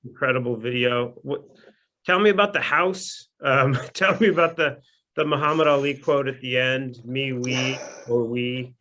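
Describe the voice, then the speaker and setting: A man's voice: medium pitch, moderate pace, sharp tone. A man hosting an interview, enthusiastic and a little hesitant, with many fillers as he asks his questions. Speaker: interviewer host. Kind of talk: casual interview.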